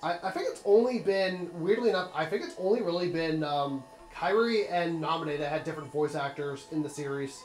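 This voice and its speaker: High-voiced man ranting over game music. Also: brisk, hardly any pauses, a little mellow, echoey room. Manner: blunt, sweary, rambling opinions. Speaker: let's play commentator. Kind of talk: casual gaming commentary.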